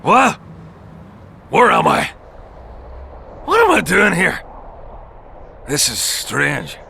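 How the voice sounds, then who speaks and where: A boisterous, muddled drunk soldier for a video game. His words slur and ramble, crude and loud, with pitch leaping about wildly and a bright, forceful edge. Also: wildly swooping pitch, extremely slow, gravelly, a little hiss or hum, exaggerated voice. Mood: confused, crude. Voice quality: slurred.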